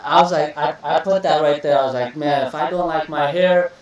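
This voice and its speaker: A young man from Iraq hosting a podcast interview, relaxed and chatty, often repeating words and calling his guest "bro.". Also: on the high side, fairly quick, distant mic, highs cut off. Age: young adult. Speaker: podcast host. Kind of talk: casual interview chat. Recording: echoey room, voice a bit off-mic.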